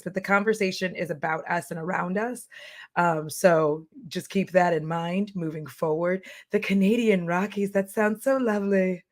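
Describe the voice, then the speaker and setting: Hoarse, chatty woman streamer, low voice. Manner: chatty, candid, rambling with fillers. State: hoarse, losing her voice. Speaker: streamer and beauty commentator. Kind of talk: casual livestream chat.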